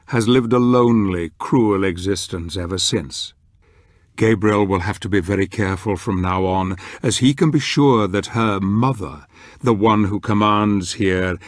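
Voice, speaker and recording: A solemn older male narrator of a gothic fantasy game , performed by a man. His voice sits on the low side and is recorded bone dry. He delivers scripted narration with grave, theatrical weight, measuring out each phrase. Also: no echo, close to an everyday voice.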